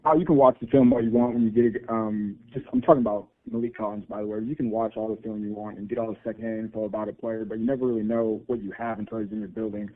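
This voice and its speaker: A man's voice: lowish voice, fast talker, few pauses. A man, a reporter, giving a long lead-in before asking his question on a press call. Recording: phone-line audio.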